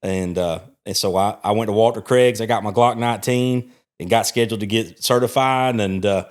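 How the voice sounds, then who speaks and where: A man's voice: on the low side, a little fast. A man from Alabama, a chaplain hosting a podcast and telling stories in a folksy, chatty way. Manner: folksy storyteller, chuckling asides. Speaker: podcast host, church chaplain. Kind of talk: casual interview.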